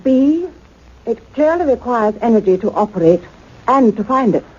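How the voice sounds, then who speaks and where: A woman's voice: a little high, lively intonation, normal speed, darkish tone, breathy edge. A woman playing a composed, dutiful scientific colleague in a radio play, attentive and formal. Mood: attentive, curious. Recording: dull, noisy lo-fi radio recording.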